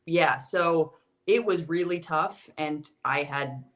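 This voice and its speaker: A young woman with an American accent talks as a guest on a casual podcast. Her voice sits a little low for a woman. She tells her stories in a chatty, animated way. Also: takes it slowly. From United States.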